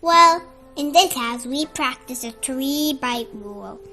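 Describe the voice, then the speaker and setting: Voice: high voice, expressive, takes it slowly, sharp tone, soft background music, exaggerated voice. A storyteller voicing an encouraging, cheerful mother cat in a children's book, with firm, upbeat coaching.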